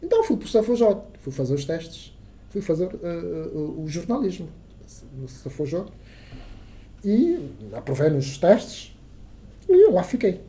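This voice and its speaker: Dark-voiced Angolan man, halting speech. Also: high voice, sing-song. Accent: Angolan Portuguese. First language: Kissolongo. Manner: hesitant, many fillers and restarts, emphatic turns. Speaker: journalist guest. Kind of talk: casual podcast interview.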